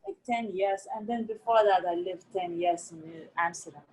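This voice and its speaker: Husky-voiced woman, animated and chatty. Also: medium pitch, moderate pace, rough recording. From Lithuania. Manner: animated, laughing often, lots of "like". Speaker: artist in a collaboration. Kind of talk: casual video-call chat. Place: office in Manhattan.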